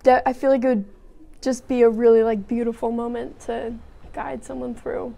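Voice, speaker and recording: Young woman aged seventeen, American accent, soft dark tone, flattish intonation, casual hesitant interview. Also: on the high side, normal speed, creaky. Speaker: high school student interviewee. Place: small classroom office, indoors.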